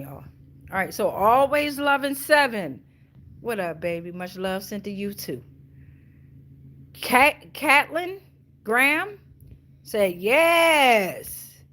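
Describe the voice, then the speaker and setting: A woman's voice: medium pitch, expressive, slow, pauses often, sharp tone. A woman hosting a casual spiritual tarot reading, blunt and slangy, swearing freely and repeating phrases for emphasis. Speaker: tarot reader, show host.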